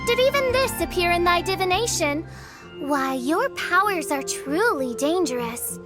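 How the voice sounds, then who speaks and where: An actress playing a grandiose young princess in an anime game, declaiming in pompous, archaic phrases. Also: female voice, very high voice, animated, measured pace, music in the background. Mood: haughty, pleased. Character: grandiose theatrical young princess holding court.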